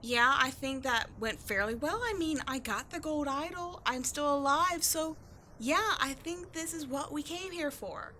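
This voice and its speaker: A woman playing a cocky, sarcastic tomboy adventurer in an audio roleplay, slangy and expressive in her exasperation. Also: sits high, a little slow, a little hiss or hum, exaggerated voice. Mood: smug, breezy. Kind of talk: scripted ASMR-style audio roleplay, one-sided dialogue.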